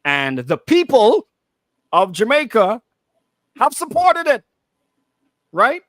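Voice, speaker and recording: Man, high voice, radio co-host, casual discussion, repeats and rephrases points, dry close sound. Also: wildly swooping pitch, takes it slowly, fairly bright tone, no room sound. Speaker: radio talk show co-host.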